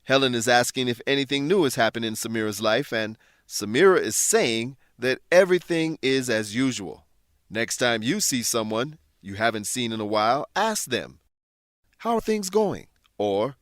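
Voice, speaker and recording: A man hosting and narrating a scripted language lesson, explaining things in a clear, measured teacher's manner. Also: mid-range voice, fairly bright tone, no room sound, clean studio sound. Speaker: host and English teacher.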